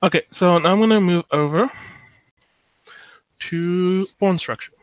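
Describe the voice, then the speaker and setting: A man's voice: high-pitched, unhurried, dry recording, poor audio. South African man lecturing on chess. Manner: thinking aloud with many fillers, reading chat. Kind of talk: live online chess lecture.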